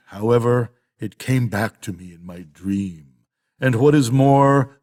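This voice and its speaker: An older man voicing an old boar, a solemn, rousing elder of farm animals, giving a measured, emphatic storybook speech. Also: medium pitch, a little mellow, close to an everyday voice. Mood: solemn, reminiscing. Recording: long hall-like reverb.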